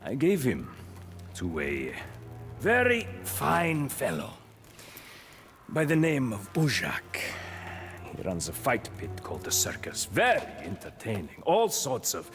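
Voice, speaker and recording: An actor playing a smug, treacherous mercenary boss in a game, theatrical and oily. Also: male voice, mid-range voice, wildly swooping pitch, takes it slowly, a bit bright, faint music behind, exaggerated voice. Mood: smug, mocking. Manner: oily mock politeness, theatrical swings. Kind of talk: video game cutscene acting.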